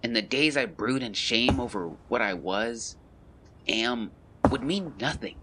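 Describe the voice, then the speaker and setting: A man's voice: mid-range voice, animated, measured pace, very noisy, natural voice. An actor playing an ashamed, grieving young man of the 1920s, reading a confessional letter in an audio drama with solemn, literary phrasing. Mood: haunted, confessing.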